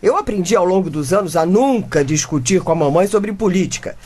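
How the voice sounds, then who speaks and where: A woman's voice: fairly low pitch, lively intonation, a little fast, warm tone. A woman playing an exasperated, defensive modern wife and mother in a comic radio drama, with theatrical exclamations.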